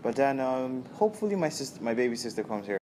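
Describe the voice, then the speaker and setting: A young man from Nigeria, a university student answering interview questions in a relaxed, casual way, often checking in with "right?". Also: medium pitch, fast talker. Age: young adult. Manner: relaxed, tags sentences with "right?".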